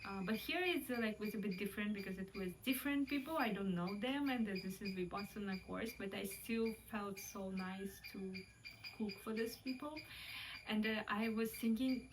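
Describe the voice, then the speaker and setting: A woman's voice: medium pitch, moderate pace, distant mic, background noise. Kazakh-accented woman, hesitant and reflective. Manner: hesitant, many fillers, light laughs. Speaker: vlogger. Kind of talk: casual reflective talk.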